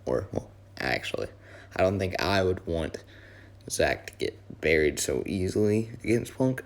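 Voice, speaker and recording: A hoarse young man, his voice worn from yelling, rambling casually through a wrestling talk with many fillers and self-corrections. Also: low voice. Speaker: wrestling video host. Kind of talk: casual fantasy-booking commentary.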